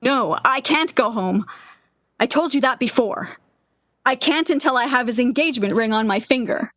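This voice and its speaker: A woman's voice: a little high, lively intonation, normal speed, fairly bright tone, no echo. An actress playing a lovesick, obsessive jilted young woman in the impassioned style of 1940s radio drama. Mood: insistent, yearning. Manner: melodramatic, yearning, impassioned.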